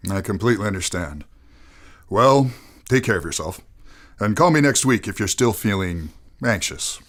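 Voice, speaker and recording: A man playing a calm, reassuring and mildly dismissive family doctor in a radio play. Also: fairly low pitch, moderate pace. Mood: kindly advising. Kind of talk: mid-century radio play acting.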